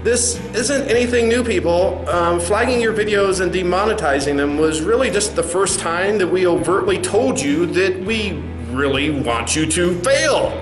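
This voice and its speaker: Smug male executive, acted by a man, high voice, condescending, evasive, comedy sketch, roomy sound, faint music. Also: animated, normal speed, hardly any pauses. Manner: condescending, pompous. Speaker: narrator and sketch performer.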